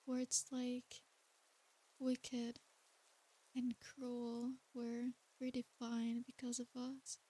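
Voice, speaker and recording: A woman playing an ancient, tender and seductive succubus demon in a soft ASMR bedtime roleplay. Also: on the high side. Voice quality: soft, breathy. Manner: slow, intimate, soothing bedtime delivery.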